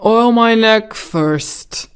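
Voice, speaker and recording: A woman voicing a polite, sad man made of tin in a storybook, speaking in a smooth, courteous, deliberate way. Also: a little high. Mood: stiff, pleading.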